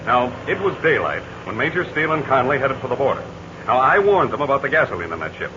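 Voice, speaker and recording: An actor playing a confident, commanding heroic aviator in a 1940s radio serial. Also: male voice, mid-range voice, quick pace, noisy background, natural voice. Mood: explaining. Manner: steady, commanding, reassuring. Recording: dull lo-fi 1940 radio recording.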